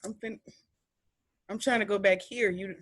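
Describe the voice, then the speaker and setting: A young woman with a deep voice hosting a podcast, chatting in an animated, emphatic way with playful repetition. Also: moderate pace. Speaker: podcast host. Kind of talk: casual chat.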